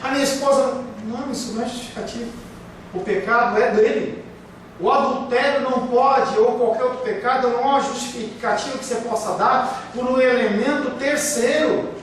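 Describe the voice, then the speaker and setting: A man's voice: very high voice, normal speed. A man preaching a sermon as pastor, emphatic, with rhetorical questions and acted-out excuses. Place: church hall. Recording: very echoey room, speaker far from mic, faint hiss.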